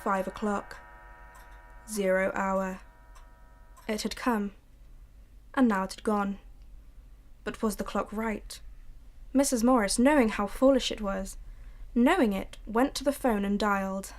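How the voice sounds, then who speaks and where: A young woman narrating a radio drama in a measured, brooding way. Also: medium pitch.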